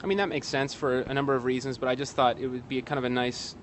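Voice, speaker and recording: A man giving a casual interview as a guest, speaking in a hesitant, halting way with wry asides, outdoors in an alley. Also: medium pitch, level tone. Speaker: guest, actor and playwright. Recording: street noise, traffic outdoors.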